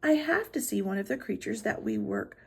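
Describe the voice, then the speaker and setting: A woman voicing a curious young talking puppy, excited and full of wonder, in a children's storybook. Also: medium pitch, brisk, gravelly, breathy edge, exaggerated voice. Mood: eager, wondering.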